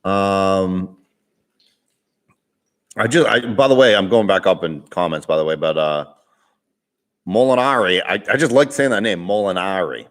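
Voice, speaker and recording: A young man with a Boston accent hosts a casual live Q&A, reading viewer comments aloud. His voice sits a bit low and he talks in a loose, rambling way, often laughing and restarting his sentences. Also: lively intonation, a little slow, long pauses, fairly bright tone. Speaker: vlogger hosting a live Q&A.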